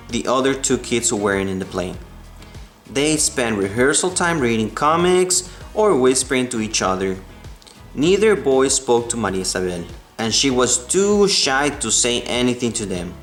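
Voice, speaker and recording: A man reading a children's book aloud in a measured way, stumbling now and then. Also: mid-range voice, a bit bright. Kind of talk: storybook read-aloud. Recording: roomy echo, music behind the voice.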